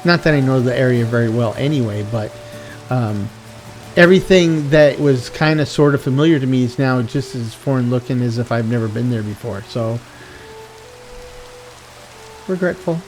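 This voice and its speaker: A man's voice: mid-range voice, moderate pace, pauses often, darkish tone, faint music behind. A middle-aged American man chatting casually and at length, wandering off track and correcting himself as he talks. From USA. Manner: meandering, self-correcting, reflective. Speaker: video game streamer. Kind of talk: rambling casual chat.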